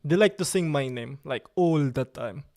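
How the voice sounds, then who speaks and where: A man's voice: a little high, expressive, moderate pace, a little mellow. A man with an Italian accent, hosting a casual live stream from his computer, playful and joking and repeating himself. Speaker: livestream host.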